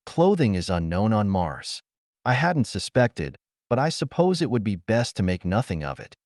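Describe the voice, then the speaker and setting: A pompous executive from an audiobook story, played by a man, blusters and panics easily. His pitch swings widely and he talks in excitable exclamations, recorded close and dry. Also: normal speed, no echo. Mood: pleased, pompous.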